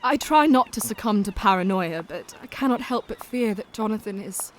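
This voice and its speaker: An actress playing a gentle, modest young Englishwoman in an audio drama, speaking tenderly and earnestly. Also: mid-range voice, expressive, moderate pace, a little hiss or hum, close to an everyday voice. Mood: worried. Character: gentle, worried young woman, modest friend.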